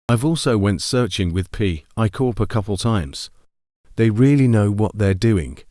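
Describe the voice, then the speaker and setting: Low, mellow secretive investigator, played by a man. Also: no echo, studio quality, natural voice. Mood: confiding. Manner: explanatory, informal rambling. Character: secretive male FBI investigator recording a confidential briefing. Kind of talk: amateur analog horror monologue.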